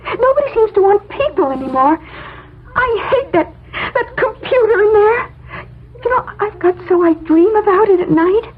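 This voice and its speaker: An actress playing a timid, plaintive young secretary in 1970s radio drama style, on a muffled old recording. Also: female voice, extremely high pitch, expressive, normal speed. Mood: tearful, frightened. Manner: hesitant, plaintive.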